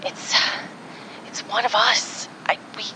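A woman playing a rattled but determined agent in an improvised audio drama, muttering haltingly and breathlessly to herself. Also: lightly acted. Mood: anxious resolve. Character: rattled, determined female agent recording a log. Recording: vehicle-like ambient noise behind.

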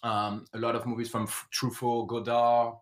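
A man's voice: medium pitch, monotone, moderate pace. Male filmmaker guest, native French speaker with French accent, mid register, casual conversational interview delivery. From south of France.

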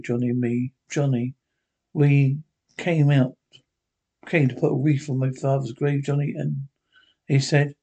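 A man's voice: mid-range voice, mellow, close to an everyday voice, low-quality recording. A man voicing a terrified young woman who pleads and repeats herself frantically. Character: terrified young woman, grieving lost brother.

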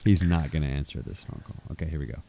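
A man co-hosting a comedy podcast, giggly and animated as he chats casually. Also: a bass voice, racing, vocal fry, a little hiss or hum. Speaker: podcast co-host. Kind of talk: casual chat, recounting prank calls.

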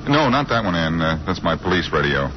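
An American actor playing a wry, easygoing, self-assured amateur detective in a 1940s radio drama, bantering and unruffled. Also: male voice, sits low, big pitch swings, brisk. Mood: cool, unruffled. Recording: muffled, noisy old radio recording.

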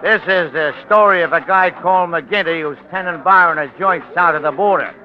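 An actor playing a wry American storyteller, narrating a 1940s radio drama in colloquial, confiding patter. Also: male voice, sits high, moderate pace, lightly acted. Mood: wry, scene-setting. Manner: wry, colloquial, conspiratorial tour-guide patter. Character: wry storyteller guiding listeners through a seedy bar. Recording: muffled old radio recording with music.

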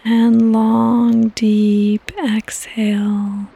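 A woman hosting a guided sleep meditation in a soft, breathy, partly whispered voice, with calm, gentle instructions and long pauses. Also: fairly high pitch, dry recording. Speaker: podcast host and meditation guide.

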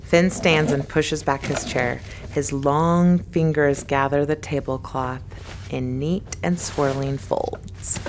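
A woman's voice: sits low, steady tone, takes it slowly, slight background noise. A woman reading scripted narration for an audio drama space adventure. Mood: measured.

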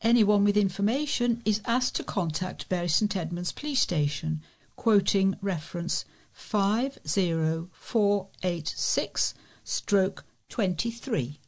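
A woman's voice: medium pitch, animated, slow, a little mellow. A woman reading news reports aloud as a volunteer newsreader.

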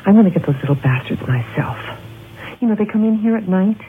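An actress playing a tough, stubborn laundromat keeper, practical and emphatic, in an old-time horror radio drama. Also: female voice, mid-range voice, expressive, a little fast, close to an everyday voice. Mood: defiant. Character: tough, stubborn woman minding an all-night laundromat. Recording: tinny, noisy old radio recording.

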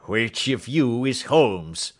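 A man's voice: medium pitch, measured pace, bright, forceful tone, pitched above the performer's own voice. A man voicing a huge, furious old country doctor, a snarling and threatening villain in a storybook reading. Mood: furious, menacing.

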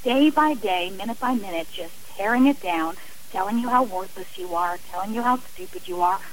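A woman's voice: medium pitch, expressive, normal speed, slight background noise, rough recording. A woman speaking as an interview guest on radio, earnest and emphatic, explaining with examples and repeated phrasing. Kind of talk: radio interview, personal and advisory talk.

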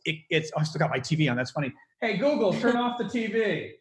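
Animated, high-pitched Chicago man. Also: fast. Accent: American Midwestern. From Chicago, United States. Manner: rambling, excitable, swears freely. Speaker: podcast host. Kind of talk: casual interview chat.

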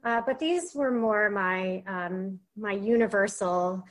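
Slow-talking woman panelist. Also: medium pitch. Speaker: panelist, tech executive. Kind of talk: panel discussion.

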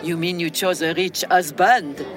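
An actress playing a wealthy, worldly older widow, elegant and teasing, in an audio drama. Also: female voice, fairly low pitch, steady tone, a little slow, music in the background, close to an everyday voice.

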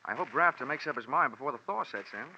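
An actor playing a wry, world-weary insurance investigator in a 1940s radio drama, deadpan and wisecracking, on a dull vintage recording. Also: male voice, medium pitch, fast, a little hiss or hum. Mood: dry, wry. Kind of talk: 1940s radio detective acting and narration.

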